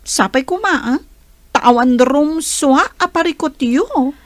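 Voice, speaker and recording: A woman playing a nosy, excitable best friend in a radio drama, exclamatory and gossipy. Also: high-pitched, slow, no echo. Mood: doubtful, advising. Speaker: audio drama actor.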